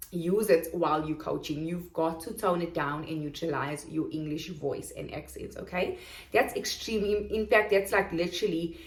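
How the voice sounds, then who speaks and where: A woman's voice: fairly low pitch, a little mellow. A woman with a Durban South African accent explaining in a casual, chatty vlog how to start coaching English online, checking in often with "okay?". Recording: echoey room.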